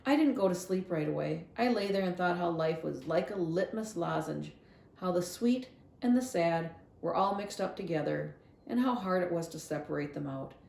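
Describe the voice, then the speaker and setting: A woman narrating a children's novel aloud as a library reader, in an expressive storybook style. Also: lowish voice, normal speed, vocal fry, roomy sound. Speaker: library read-along reader.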